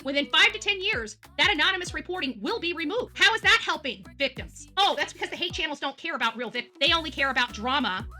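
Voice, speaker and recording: A woman's voice: high-pitched, expressive, quick pace, hardly any pauses, pushed and bright, soft background music. A woman livestream host on an angry, combative rant, emphatic and outspoken, repeating herself for force and swearing at times. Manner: coarse, outspoken. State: angry, worked up.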